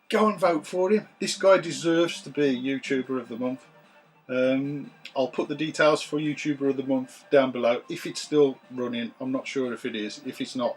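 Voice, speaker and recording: A man, his voice a bit rough from recovering after losing it, chatting to camera in a relaxed, rambling way with many ums, recorded on a camera's built-in mic in a small room. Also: a little high, low-quality recording. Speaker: retro games collector vlogger. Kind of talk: casual chat to camera. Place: small room full of retro computers.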